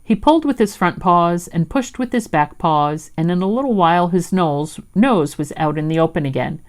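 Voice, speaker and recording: A woman reading a children's storybook aloud as an expressive storyteller. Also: fairly low pitch, moderate pace.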